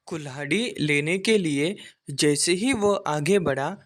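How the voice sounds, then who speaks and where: A man's voice: a little high, takes it slowly, noisy background. A man narrating a scripted children's folktale as the storyteller.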